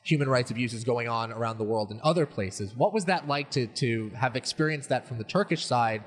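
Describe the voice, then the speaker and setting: A man hosting an interview, asking measured, polite questions. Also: medium pitch. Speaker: interview host. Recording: long reverb.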